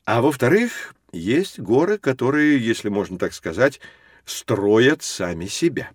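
An actor playing a lively, patient professor who explains things expressively to children in an educational audio drama. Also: male voice, mid-range voice, unhurried, no room sound, clean studio sound. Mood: patiently explanatory.

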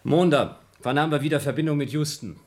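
An actor playing an astronaut on a moon station who is agitated and impatient and keeps exclaiming. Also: male voice, on the high side, fast talker, lightly acted. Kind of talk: 1970s radio play acting.

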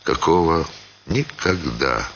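A man reading a literary story as a dramatic narrator, measured, pausing phrase by phrase. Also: rumbling low, expressive, fairly bright tone, muffled recording, slight background noise. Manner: measured, with deliberate phrase-by-phrase pauses.

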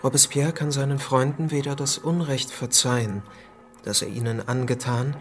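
An actor playing a disillusioned, courteous politician in a radio play, speaking in a measured, formal way. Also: male voice, mid-range voice, fairly even intonation, faint music behind, close to an everyday voice. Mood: bitter, measured. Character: disillusioned, earnest politician, gently courteous lover.

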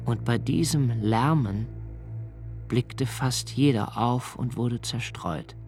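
An actor playing a brooding young male poet, narrating a radio play in a measured, solemn reading over faint music. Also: mid-range voice. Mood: grave.

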